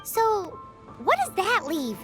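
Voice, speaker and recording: A woman voicing a tiny, chirpy, childlike fairy companion in a video game, squeaky, curious and eager. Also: wildly swooping pitch, slow, exaggerated voice. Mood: curious, puzzled. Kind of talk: video game cutscene voice acting. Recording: music behind the voice.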